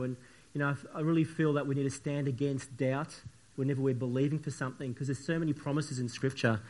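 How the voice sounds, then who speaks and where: A man with a croaky, sniffly voice from the flu, preaching a church sermon in an earnest, halting way with many restarts. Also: medium pitch. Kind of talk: church sermon with opening prayer.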